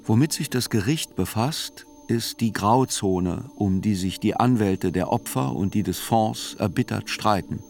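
Sober court chronicler, low voice, measured radio play narration, faint music underneath. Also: male voice, creaky, darkish tone.

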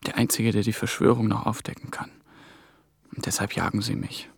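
A man's voice: on the low side, level tone, brisk, creaky, breathy edge, dry recording, studio quality. An actor playing a young intern on the run, telling his story in clipped, brooding phrases for a radio drama. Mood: brooding, tense. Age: young adult. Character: ambitious young German intern, hunted and haunted. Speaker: lead actor and narrating protagonist.

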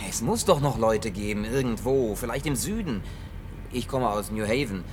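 Brief: actor as mid-pitched, grumbling last man on earth, faint engine rumble behind. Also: expressive, normal speed, darkish tone, lightly acted. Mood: bewildered. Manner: gruff, muttering curses. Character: awkward, gruff wandering man, last survivor. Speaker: Jim, survivor on foot. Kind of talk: German film dub.

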